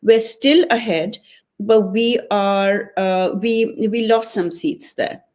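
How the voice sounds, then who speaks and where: Articulate woman from Pakistan, measured. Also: medium pitch, fairly even intonation, darkish tone. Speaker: guest, political club member. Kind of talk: conversational interview.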